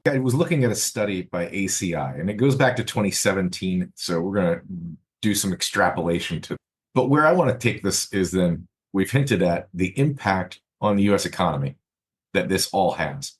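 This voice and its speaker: A man hosting a podcast, talking analytically and building his points in a measured way. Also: low voice, animated. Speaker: podcast host.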